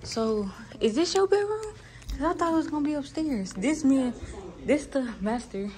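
A woman's voice: high voice, expressive, normal speed, darkish tone. A young adult woman vlogging casually in informal, slangy talk, filming on a phone at arm's length. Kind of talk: casual vlog chat and house tour. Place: walking through apartment, hallways and parking garage. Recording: noisy handheld phone recording.

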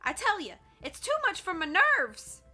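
A woman's voice: extremely high pitch, wildly swooping pitch, unhurried, pushed and bright, soft background music, voice pushed up, full character voice. A woman voicing a superstitious ranch cook woman, folksy and emphatic. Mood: fearful.

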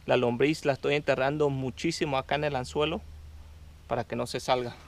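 A man in his thirties vlogging with excitement while fishing from a kayak on a river, switching between Spanish and English. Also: medium pitch, brisk, creaky. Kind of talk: casual vlog narration while fishing. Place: outdoors on a river, wading and kayaking. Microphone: action camera on a kayak. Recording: noisy outdoor river audio.

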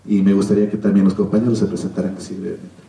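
Middle-aged Mexican male panelist, measured and hesitant, dark low tone, distant hall recording. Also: little pitch movement, vocal fry. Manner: measured, hesitant, pausing often. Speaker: panel speaker. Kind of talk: public round-table talk. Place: cinema auditorium stage. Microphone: handheld PA microphone, filmed from audience. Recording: echoey hall, distant camera microphone.

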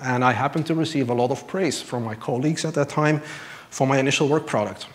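A man's voice: medium pitch, quick pace. A man in his thirties from Belgium, telling his personal faith story from a church stage in an earnest, lightly self-deprecating way, speaking into a lapel mic. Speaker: church member giving testimony. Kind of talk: personal testimony from a pulpit.

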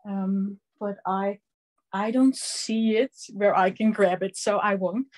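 Slow, hesitant Dutch-accented woman teaching crafts. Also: mid-range voice, fairly even intonation, darkish tone, slight background noise, rough recording. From Netherlands. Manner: slow, halting, many ums, hands-on explaining. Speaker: craft instructor. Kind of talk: live online craft class demonstration.

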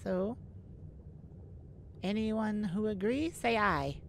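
A woman playing a stately, merciful mermaid leader in a fantasy audio roleplay, speaking in a formal, deliberate, regal manner. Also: mid-range voice, expressive, crowd noise. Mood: solemn, commanding.

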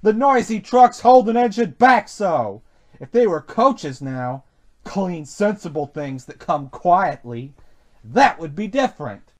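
A man voicing a proud, grumbling, cross big steam engine in a children's storybook, with booming, indignant exclamations. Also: very animated, takes it slowly, exaggerated voice.

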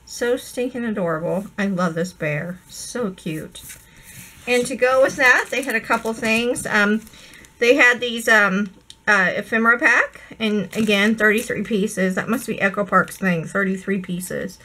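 A woman's voice: medium pitch, a little slow, pushed and bright, poor audio. A woman losing her voice, chatting and gushing over craft supplies in a casual haul video at her desk. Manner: gushing, chatty, many ums. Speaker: crafting vlogger.

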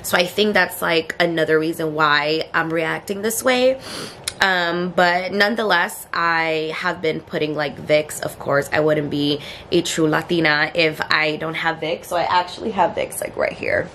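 Tired stuffy-nosed woman with a gravelly voice. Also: steady tone, measured pace, a bit bright, slight background noise. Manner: tired, low-energy, rambling with many fillers. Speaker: vlogger. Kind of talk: casual vlog chat to camera. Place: bedroom and then a kitchen at home, indoors. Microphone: handheld camera at arm's length.

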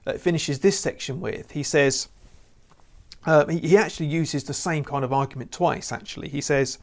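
A man in his thirties gives a sermon straight to camera in a small indoor room. He talks in an earnest, conversational way, with a slightly raised pitch and a gravelly edge. A lapel mic keeps him close. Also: moderate pace. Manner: earnest, conversational, many "you know" fillers. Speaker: preacher. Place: indoor room with lamp and church banner.

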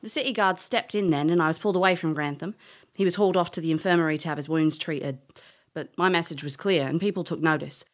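A woman's voice: sits low, fast, natural voice. An actress playing a brash, cheeky, hot-headed elf adventurer who tells her tale in an audio drama, blunt and cocky. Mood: narrating, wry. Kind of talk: audio drama acting and first-person storytelling.

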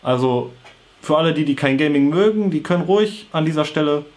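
A man's voice: on the high side, lively intonation, a little fast, darkish tone. A young adult man with a cold with a sore throat, talking casually to camera in an informal, slangy, offhand way. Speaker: vlogger. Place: small bedroom with computer desk, indoors.

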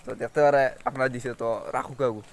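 A man's voice: mid-range voice, flat delivery, fast talker. A young man with a Sylheti accent chatting casually to viewers as he vlogs outdoors on a phone, often repeating his phrases. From Sylheti-speaking Karimganj area, India. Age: young adult. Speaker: vlogger. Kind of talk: casual chat to camera. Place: outdoors on village roads. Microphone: phone at arm's length. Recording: music under an outdoor phone recording.

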